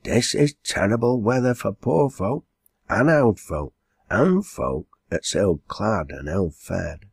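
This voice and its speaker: A man's voice: on the low side, expressive, takes it slowly, vocal fry, husky, dry recording, clean studio sound, pitched above the performer's own voice, exaggerated voice. A man voicing a kindly but bossy landlady in a storybook reading, with a Northern English accent and a homely, plain-spoken manner. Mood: pitying.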